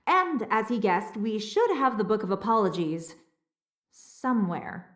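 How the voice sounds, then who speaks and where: A woman's voice: medium pitch, sing-song, measured pace, echoey room. An actress playing a scholarly, slightly flustered female castle historian in a video game, speaking earnestly and precisely. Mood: chatty. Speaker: historian and relic keeper. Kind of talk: video game dialogue, expository.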